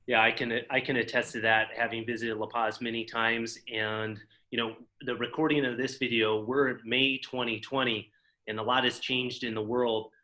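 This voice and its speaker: A man hosting an interview, friendly and relaxed, with a few fillers. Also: medium pitch, moderate pace, poor audio. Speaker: interview host. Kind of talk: conversational interview.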